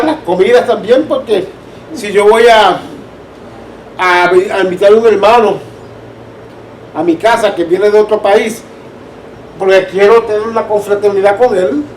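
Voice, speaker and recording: High-voiced Caribbean Spanish man, distant mic. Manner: conversational, checks listeners understand. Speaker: Bible school teacher. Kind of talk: informal church teaching with anecdotes. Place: church room. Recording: distant roomy mic, background noise.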